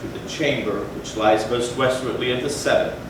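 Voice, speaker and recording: Distant echoing man giving theatrical gothic reading. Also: medium pitch. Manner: measured, theatrical, deliberate phrasing with pauses. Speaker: readers theatre performer. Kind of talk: dramatic stage reading of a gothic tale. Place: large echoey room, live stage performance. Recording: echoey hall from far off, noisy.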